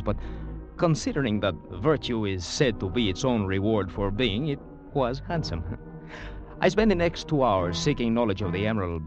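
An actor playing a sly, courtly Cuban schemer in an old radio drama, with a Cuban Spanish accent and florid, unctuous politeness. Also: male voice, lowish voice, close to an everyday voice. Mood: wry narrating. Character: sly, courtly, talkative Cuban schemer, a small-time rogue. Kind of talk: 1940s-50s radio drama acting and first-person narration. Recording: muffled old radio broadcast.

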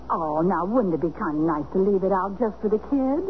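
A woman's voice: mid-range voice, lively intonation, fairly quick, close to an everyday voice. An actress playing an American woman in a 1970s radio drama. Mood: exasperated, scolding. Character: prim secretary or blunt, nagging female friend. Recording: tinny, noisy old radio recording.

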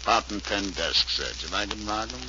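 A man's voice: on the low side, lively intonation, moderate pace, lightly acted. An American actor playing a needling, slangy tough-guy businessman in a 1940s radio crime drama. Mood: smug, needling. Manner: slangy, blunt, taunting. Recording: muffled, hissy 1940s radio recording.

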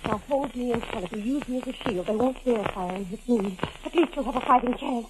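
A woman acts a devoted wife in a vintage radio drama, high-voiced and earnestly pleading. The delivery is melodramatic 1940s style, over a clearly noisy old recording. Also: fairly quick, natural voice. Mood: urgent. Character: devoted, pleading wife, self-sacrificing and urgent.

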